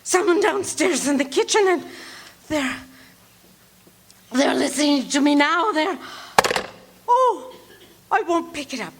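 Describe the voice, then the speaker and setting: A woman's voice: sits high, lively intonation, takes it slowly, long pauses, heavily acted. An actress playing a frantic, demanding invalid woman alone at night in a live radio play, fretful and breathless. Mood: terrified. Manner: fretful, breathless, rising into panic.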